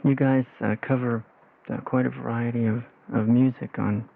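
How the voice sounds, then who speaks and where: A man hosting a casual radio interview in a halting, informal way. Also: medium pitch, level tone, gravelly, a little hiss or hum. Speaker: radio host. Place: radio studio. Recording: dull, phone-like old radio aircheck.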